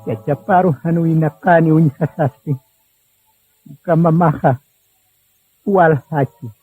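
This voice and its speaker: High-pitched man narrating, tinny radio sound. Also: takes it slowly, slightly rough, no echo. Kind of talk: scripted radio drama narration, solemn storytelling. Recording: tinny narrow-band radio sound with music. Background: music.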